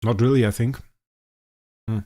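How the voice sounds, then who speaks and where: Male game streamer, native German speaker, casual, thinks aloud, trails off softly, mid-pitched. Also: steady tone, moderate pace. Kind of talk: casual livestream commentary.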